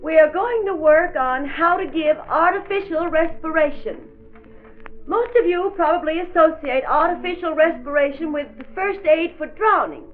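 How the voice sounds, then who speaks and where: A formal American class instructor in an old radio play. Her high voice explains step by step, on a narrow 1940s disc recording. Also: moderate pace. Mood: instructive. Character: brisk, instructive female first-aid class instructor. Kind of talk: 1940s radio acting, lecture.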